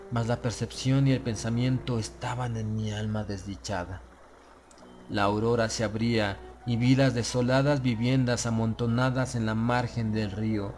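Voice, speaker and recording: A man reading a gothic horror audiobook in a solemn, deliberate and dramatic manner. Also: medium pitch. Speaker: audiobook narrator. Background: music.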